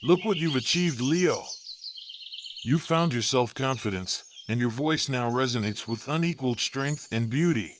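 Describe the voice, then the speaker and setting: A wise elephant from a children's tale, acted by a man, with a medium-pitched voice. The mentor speaks in a gentle, measured, reassuring way over faint night insects and birds. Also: a little hiss or hum, natural voice. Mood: proud, gentle. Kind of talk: children's storybook character voice.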